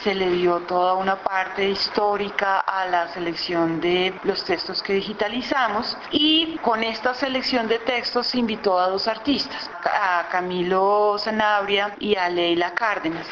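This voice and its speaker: A woman speaks at a middle pitch and explains an exhibition in an interview, with fillers and restarts along the way. The recording sounds a little dull, with a faint hiss behind her voice. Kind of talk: interview answers. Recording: slightly dull, faint background noise.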